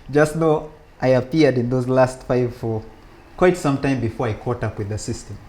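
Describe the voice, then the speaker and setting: A man speaking as an interview guest, telling stories about his school years in a relaxed way, with frequent laughs and restarts. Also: medium pitch, normal speed. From Uganda. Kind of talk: relaxed conversational storytelling.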